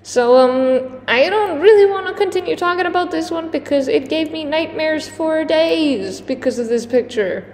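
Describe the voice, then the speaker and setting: A woman's voice: sits high, expressive, normal speed, few pauses, darkish tone. A woman giving casual countdown commentary to camera, conversational and a little hesitant, with dramatic emphasis on certain words. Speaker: host of a countdown video. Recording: echoey reverberant room, clear background noise.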